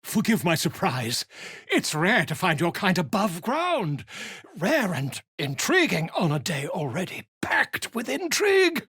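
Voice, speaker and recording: A pompous, flamboyant middle-aged author in a video game, nosy and fussy. His husky voice swoops animatedly up and down as he talks in florid, wordy phrases and wheedles. The sound is close and dry. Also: sits high, wildly swooping pitch, a little slow, bright, forceful tone, dry recording, heavily acted.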